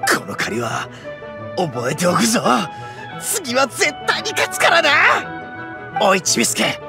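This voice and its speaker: An actor voicing a loud, brash young oni gang leader in a game, swaggering and rough-talking but big-hearted. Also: male voice, high voice, huge pitch swings, measured pace, heavily acted. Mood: defiant. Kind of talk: game voice acting. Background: game music.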